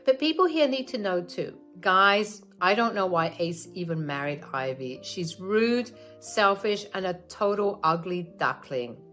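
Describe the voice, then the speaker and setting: A woman's voice: mid-range voice, animated, a little slow, few pauses, a bit bright, faint music behind. A woman voicing a spiteful, greedy older mother-in-law in a raised, scornful voice.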